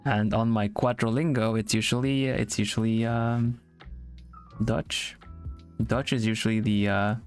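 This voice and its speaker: Halting man chatting, faint music. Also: fairly low pitch, level tone. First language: Swedish. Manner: halting, repeats words while searching for them. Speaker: streamer. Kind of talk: casual chat on a live art stream.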